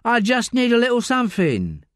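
Give me a shrill, agitated young woman suspect, put on by a male narrator, dry close sound. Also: huge pitch swings, moderate pace, no echo, heavily acted.

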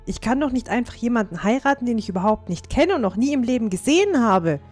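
A young dwarf girl played by a woman in a fantasy audio play. Her voice is on the high side, flustered and defiant, with music underneath. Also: sing-song, fast, darkish tone. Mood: indignant protest. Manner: protesting, flustered. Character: young female dwarf, naive, defiant daughter. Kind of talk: fantasy audio drama acting.

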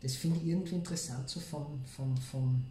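An Austrian man gives casual commentary as a co-host. His voice is a little high for a man yet dark and relaxed in tone. It is recorded in an echoey room with some faint noise. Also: a little fast.

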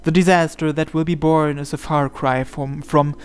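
A man's voice: a little high, steady tone, moderate pace, clear, resonant tone. A man reading a visual novel aloud as dramatic scripted narration. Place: indoors, voice-over on game playthrough. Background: game music.

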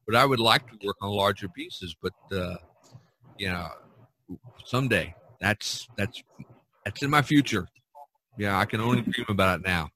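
A man's voice: mid-range voice, bright, forceful tone. A man hosting a casual artists' podcast, talking in a rambling, easygoing way and laughing often. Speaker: podcast host. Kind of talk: casual chat among artists.

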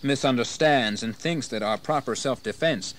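An actor playing a bold, ambitious colonial rebel leader in a history radio drama, speaking in a proud, formal, declamatory manner. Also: male voice, mid-range voice, moderate pace, a little hiss or hum, close to an everyday voice. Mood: cool resolve. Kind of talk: dramatized history audio acting.

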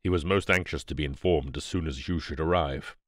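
A male narrator acts out a steadfast, grey-bearded British expert in a storybook reading. He is formal and courteous, measured in his delivery, with a low pitch and a close, dry sound. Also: dry recording.

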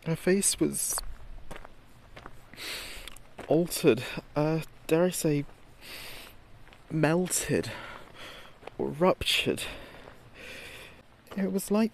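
An actor playing a frightened late-night radio DJ giving a halting, haunted field report in a horror audio drama. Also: male voice, on the high side, vocal fry, darkish tone, exaggerated voice. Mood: disturbed, halting. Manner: halting, hesitant, haunted delivery. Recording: noisy outdoor field recording with ambience.

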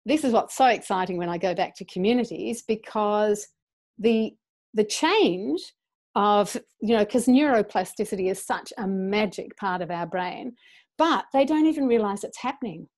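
A woman's voice: mid-range voice, moderate pace. Passionate woman on a video call. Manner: passionate, animated, gestures a lot with her hands. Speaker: guest educator. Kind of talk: interview over video call. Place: small indoor room. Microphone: earphone mic on a video call.

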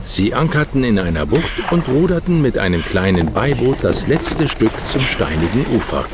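A man narrating a children's adventure audio drama in a dramatic, expressive way. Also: medium pitch, moderate pace, natural voice. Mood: suspenseful telling. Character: storyteller of a children's adventure tale. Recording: clear ambient noise behind narration.

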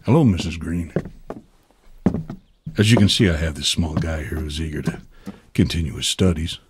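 A man playing a gruff but polite middle-aged police detective in a video game, with a husky voice. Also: rumbling low, normal speed, very noisy. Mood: businesslike, polite. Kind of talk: video game cutscene acting.

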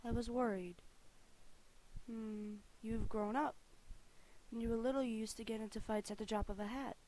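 A woman's voice: on the high side, fairly even intonation, normal speed, darkish tone, close to an everyday voice, low-quality recording. A woman plays a sweet young woman in a game fandub. She speaks tenderly and earnestly, like someone caring for an old friend.

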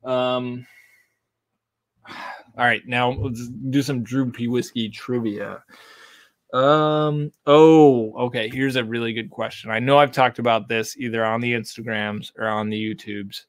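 A man's voice: mid-range voice, steady tone, a little slow, no room sound. A man in his thirties from Texas, casually chatting on a livestream from a home bar and reading out viewer comments. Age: 30s. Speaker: whiskey channel host. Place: home bar with lit shelves of bottles. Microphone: desk condenser mic.

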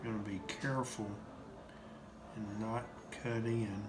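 Slow-talking man in a roomy kitchen. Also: fairly low pitch, fairly even intonation, noisy background. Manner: plain-spoken, homespun, walks through each step. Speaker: cooking show host. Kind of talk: step-by-step cooking demonstration. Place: home kitchen, indoors.

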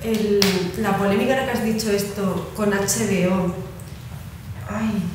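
A woman's voice: medium pitch, measured pace. A woman with a Spanish accent on a panel, groping for a title and exclaiming as she remembers. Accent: Spanish from Spain. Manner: searching for words, exclamatory. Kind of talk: casual panel chat. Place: large echoey library room. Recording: echoey room, distant from the mic, background noise.

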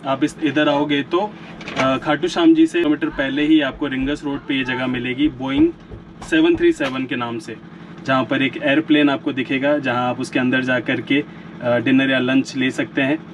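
A man in his thirties, chatting casually as a food vlogger in a relaxed way, filmed on a handheld action camera. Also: medium pitch, muffled recording, a little hiss or hum. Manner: relaxed and chatty, with filler pauses. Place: airplane-themed restaurant, indoors and outdoors. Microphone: action camera held at arm's length.

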